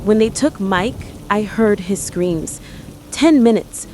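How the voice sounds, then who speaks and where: A woman playing an exhausted survivor, confessing a dark secret in stark, short phrases in a radio drama. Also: mid-range voice, slow pace, close to an everyday voice. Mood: haunted confession. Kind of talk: dramatic monologue, confessional storytelling. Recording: rain and thunder noise behind voice.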